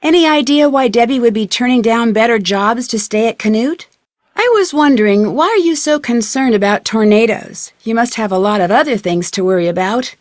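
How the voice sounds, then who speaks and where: An actress playing a polite, inquisitive young woman detective in a video game, speaking in a clear, friendly, conversational way. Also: fairly high pitch, lively intonation, a little fast, dry recording, clean studio sound, lightly acted. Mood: curious. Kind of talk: video game dialogue acting, isolated conversational lines.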